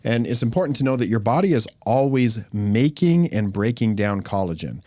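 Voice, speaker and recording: A man hosting a podcast as a dermatologist, explaining things in a relaxed, conversational way. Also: medium pitch, moderate pace, dry recording. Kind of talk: casual podcast chat.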